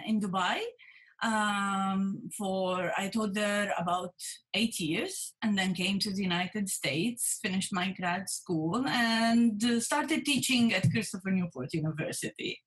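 A middle-aged woman with a Lebanese accent, answering as an interview guest on a video call and pausing to search for words. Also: mid-range voice, fairly bright tone, breathy edge. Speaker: guest, university instructor. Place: book-lined room indoors, video call.

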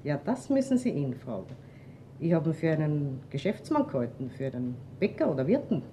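A woman's voice: sits low, big pitch swings, a little fast, mellow, slight background noise. An actress playing a coquettish, brazen Viennese landlady in a radio crime drama, cheeky and theatrical. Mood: cheeky, defensive. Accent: Viennese Austrian. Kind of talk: German-language radio crime drama acting.

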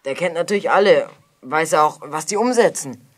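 A woman's voice: sits low, animated, moderate pace. A woman playing a clever, self-assured young detective, confident and explanatory, in a children's audio drama. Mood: confident deduction.

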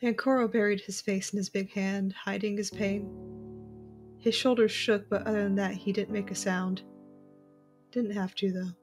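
A woman's voice: medium pitch, little pitch movement, normal speed, darkish tone, natural voice. Woman acting a watchful female agent narrator, music behind. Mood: sorrowful. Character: young female intelligence agent, daughter, tense and watchful. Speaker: narrator and lead character. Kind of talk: dramatic first-person audio drama narration.

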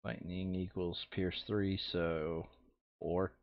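Man, fairly low voice, casual gameplay chat, muttered counting, thinking aloud, slightly muffled lo-fi audio. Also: flat delivery, takes it slowly, no room sound. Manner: mutters counts and rules to himself. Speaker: board game player.

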